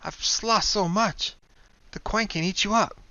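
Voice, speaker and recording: A man's voice: lively intonation, moderate pace, pushed and bright, dry recording, highs cut off. A man doing a frightened, worn-out young woman. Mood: pleading. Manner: pleading, weary, raised pitch for a woman.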